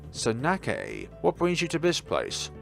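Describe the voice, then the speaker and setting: A man voicing a blunt, curious teenage schoolgirl who talks in deadpan, rambling musings in a visual novel. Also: a little high, slow, vocal fry, soft background music, pitched above the performer's own voice, heavily acted. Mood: inquisitive.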